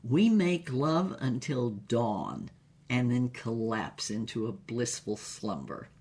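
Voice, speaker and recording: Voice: medium pitch, animated, slow, darkish tone, breathy edge. A performer playing a bold, sultry female spy and film star, telling her exploits in dramatic first-person narration. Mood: sultry, wry.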